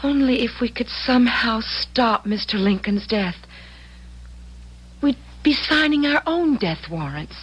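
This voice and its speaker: A woman's voice: a little high, expressive, unhurried, slightly rough, lo-fi sound. An actress playing an earnest, anxious but loyal young woman traveling through time, in old-time radio drama style. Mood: grave, resolved.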